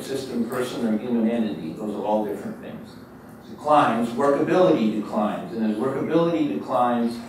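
An older man giving a conversational, emphatic keynote lecture at a university, pacing in a large lecture hall. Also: mid-range voice. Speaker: guest lecturer. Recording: echoey hall, distant mic, faint noise.

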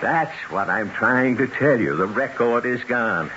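Casting: grumbling, slangy gun dealer, very lively pitch, old radio drama, muffled noisy recording. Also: male voice, unhurried. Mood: brash, boastful. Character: irritated, talkative gun shop owner, a man. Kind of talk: 1970s radio drama acting.

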